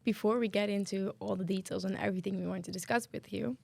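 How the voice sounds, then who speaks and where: A woman's voice: medium pitch, fairly even intonation, fast talker, gravelly, a little mellow, dry recording. A young woman hosting a podcast about student life, chatty and tripping over a word now and then. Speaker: podcast host.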